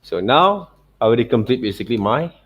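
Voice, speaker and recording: A man narrating an informal art software tutorial as a teacher, in loosely phrased non-native English, often checking in with short tag words. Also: mid-range voice, sing-song, fast, slightly rough, highs cut off. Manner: repeats check words like okay and right.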